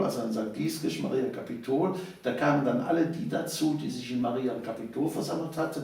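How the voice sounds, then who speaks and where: Echoey, creaky older man reminiscing. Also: mid-range voice, darkish tone. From Cologne, Germany. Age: 70s. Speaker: interview guest, storyteller of local history. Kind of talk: conversational eyewitness storytelling. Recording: echoey room, distant microphone.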